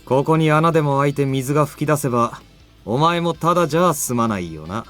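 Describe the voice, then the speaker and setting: An actor playing a cocky, fearless young fighter in a visual novel, taunting and self-assured. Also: male voice, fairly high pitch, lively intonation, racing, fairly bright tone, soft background music. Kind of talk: Japanese visual novel voice acting.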